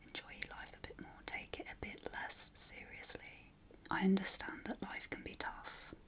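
Woman, British accent, breathy whisper, ASMR affirmations, encouraging, affectionate, informal. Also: moderate pace, a bit bright. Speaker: ASMR host.

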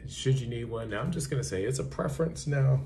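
A man's voice: mid-range voice, expressive, fast talker, mellow, a little hiss or hum. A young man talking casually and bluntly to camera, giving advice in a repetitive, conversational way. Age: young adult. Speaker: trucker giving advice in a vlog. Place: small plain indoor room.

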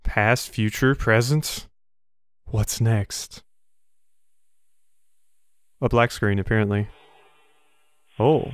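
A man's voice: on the low side, extremely slow, pauses often, smooth, no room sound, studio quality. A cheerful young man giving laughing commentary while playing a game. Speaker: let's play host. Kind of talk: gameplay commentary.

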